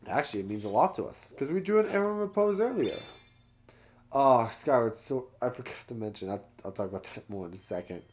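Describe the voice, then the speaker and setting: A man's voice: medium pitch, animated, a little fast, darkish tone, a little hiss or hum. A man hosting a let's play, giving casual, joking commentary as he plays.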